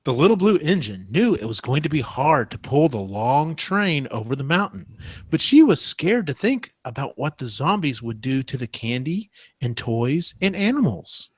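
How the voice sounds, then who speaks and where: A man's voice: fairly high pitch, very animated, normal speed, breathy edge, dry recording. A man, a podcast co-host, reading a comic parody storybook aloud.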